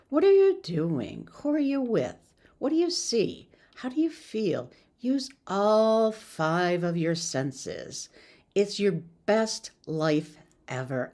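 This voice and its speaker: Woman, success coach, motivational talk, informal, encouraging, very varied intonation, unhurried pace. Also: low voice, darkish tone.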